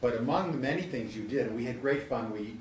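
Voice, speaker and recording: A man's voice: medium pitch, fast, far from the mic. A man hosting a live event, making informal remarks to an audience. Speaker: event host. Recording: echoey room, off-mic, noisy background.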